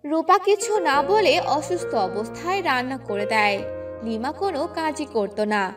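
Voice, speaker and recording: High-pitched, animated woman storyteller. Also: normal speed, far from the mic, over music. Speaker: narrator. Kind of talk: scripted fairy-tale narration.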